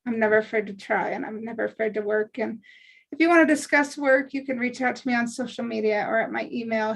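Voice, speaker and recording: A woman on a video call, talking eagerly and chattily about her acting and writing. Her voice sits slightly high. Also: creaky, a bit bright. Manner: chatty, eager, self-promoting. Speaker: actor and writer. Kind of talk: casual chat.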